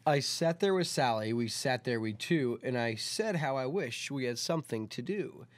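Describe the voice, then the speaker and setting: A man's voice: mid-range voice, lively intonation, moderate pace. A man hosting a story time, talking casually and reading a children's picture book aloud.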